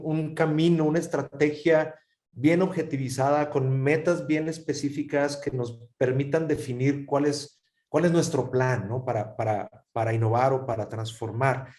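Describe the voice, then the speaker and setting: A man at a mid pitch leads a panel discussion. He talks in a thoughtful way and often restarts his phrases as he looks for the right word. He sums up what the panelists say and hands them the floor. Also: a little mellow. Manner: thoughtful, repeats words while searching for phrasing. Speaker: panel moderator.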